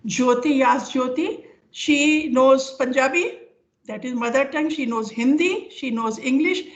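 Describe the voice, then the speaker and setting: An older woman with an Indian accent giving an informal academic lecture over a video call, speaking haltingly as she explains with examples. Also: sits high. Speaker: professor giving an online lecture. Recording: dull, lo-fi online call audio.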